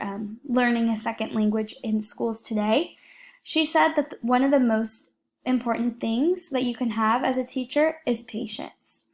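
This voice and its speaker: A young woman, an education student, earnestly reading a prepared report for her class, with a few fillers. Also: fairly high pitch.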